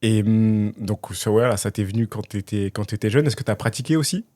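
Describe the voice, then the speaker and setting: A man hosting a podcast, reading a scripted intro and asking measured interview questions. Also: lowish voice, darkish tone, no echo. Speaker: podcast host.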